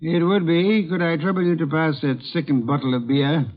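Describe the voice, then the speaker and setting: An actor playing a pompous, dry detective boss who speaks formally and deliberately, in 1950s radio drama style. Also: male voice, on the high side, fairly even intonation, lightly acted. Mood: testy, commanding. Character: gruff, pompous armchair detective, a male boss who loves beer. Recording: dull, muffled 1950s radio recording.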